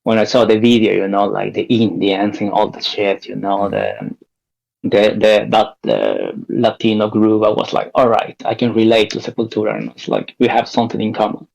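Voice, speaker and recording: Gravelly, laid-back man from Peru. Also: on the low side, normal speed, darkish tone, highs cut off. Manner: loose, rambling, swears and laughs easily. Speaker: guest band member. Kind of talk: casual podcast chat.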